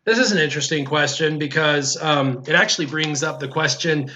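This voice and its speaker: A man's voice: on the high side, brisk, sharp tone, a little hiss or hum. A man hosting a casual gaming livestream, joking and opinionated, repeating himself as he talks through viewer questions with a guest. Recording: slightly dull, lo-fi stream audio.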